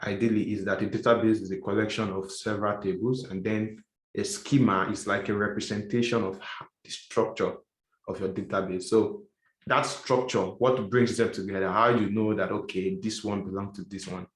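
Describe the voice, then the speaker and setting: A man's voice: lowish voice, fairly even intonation, normal speed. Man teaching a class in an echoey room. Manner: explanatory, repeats and rephrases points. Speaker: instructor. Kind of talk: classroom lecture.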